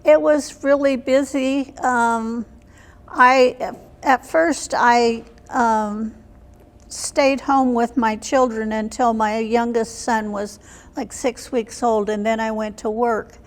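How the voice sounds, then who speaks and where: Elderly woman, unhurried slow pace, monotone, plain-spoken reminiscing, interview guest. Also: on the high side. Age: 70s or older. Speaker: interview guest, military veteran. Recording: long hall reverb.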